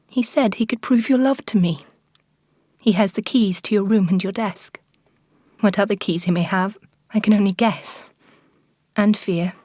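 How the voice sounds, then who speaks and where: An actress playing an unhappy, jealous Victorian wife in a radio drama, giving an intimate, sorrowful and restrained confession. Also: female voice, mid-range voice, a little mellow, no room sound, studio quality, close to an everyday voice. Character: unhappy, jealous Victorian wife voicing her farewell letter.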